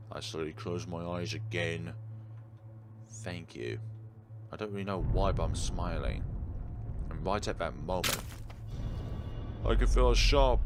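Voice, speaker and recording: A man reading a visual novel aloud theatrically and adding his own casual commentary as a let's player. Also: low-pitched. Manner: theatrical, emphatic reading with interjected reactions. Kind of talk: dramatic read-aloud narration with casual gameplay commentary. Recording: clearly noisy background.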